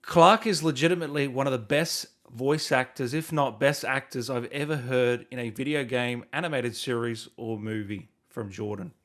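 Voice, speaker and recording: A man's voice: mid-range voice, moderate pace, hardly any pauses. A man with an Australian accent interviewing a guest in a matey, informal, complimentary way. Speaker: interviewer, gaming show host. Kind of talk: casual interview chat.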